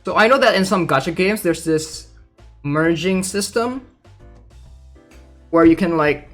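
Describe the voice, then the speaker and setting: Male game streamer, voice a bit high, casual livestream commentary, hesitant think-aloud delivery, music underneath. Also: measured pace, a bit bright.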